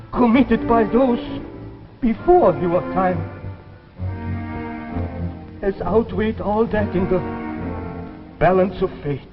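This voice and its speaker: An actor playing a weary, solemn elderly scientist of a doomed future in a 1950s science fiction drama, speaking with theatrical deliberation. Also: male voice, high-pitched. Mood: grave, despairing. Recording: muffled vintage 1950s broadcast, reverberant, music behind.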